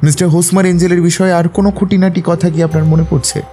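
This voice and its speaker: An actor playing a composed, courteous detective who questions in a measured, probing way in an audio drama. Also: male voice, high-pitched, flat delivery, warm tone, soft background music, natural voice. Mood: measured, inquiring. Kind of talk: Bengali audio drama acting.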